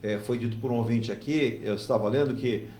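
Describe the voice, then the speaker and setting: A male talk show host with a mid-pitched voice, speaking conversationally. He uses plenty of fillers and tag questions. He sounds roomy and set back from the microphone. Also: a little fast, dark tone. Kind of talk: hosting a discussion. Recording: roomy and distant from the mic.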